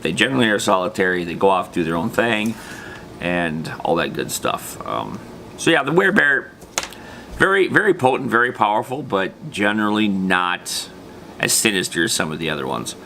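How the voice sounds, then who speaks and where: A man's voice: sits low, sing-song, moderate pace, creaky, bright, forceful tone. A man presenting a casual, unscripted gaming explainer in an informal, slangy way, trailing off into loose asides. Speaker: presenter of a tabletop gaming video series. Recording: faint background noise.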